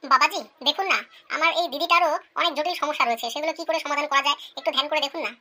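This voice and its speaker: A woman's voice: high voice, rapid-fire, sharp tone. A woman playing a chatty, pleading and scheming village woman in a cartoon comedy.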